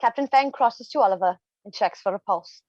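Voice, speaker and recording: A woman's voice: mid-range voice, fairly quick, a little mellow, no room sound. A woman reading stage directions as scripted narration, on a slightly dull microphone.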